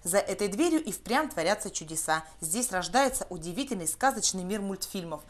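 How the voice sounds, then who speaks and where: A woman's voice: medium pitch, animated, a little fast. A young woman, narrating a scripted news report as a reporter.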